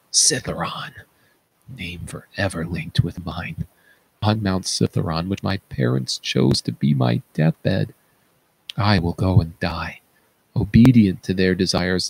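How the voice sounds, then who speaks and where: A man's voice: low voice, creaky, husky, heavily acted, low-quality recording. A man playing a blinded fallen king, an anguished and tender father, in a theatrical reading of Greek tragedy. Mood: resigned despair. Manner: theatrical, grief-laden declaiming. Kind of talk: read-aloud Greek tragedy.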